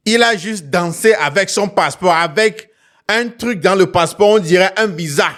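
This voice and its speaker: Man, high voice, West African French accent, online show host, emphatic storyteller, repeated phrases, questioning tags. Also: normal speed, a bit bright. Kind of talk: animated storytelling commentary to viewers.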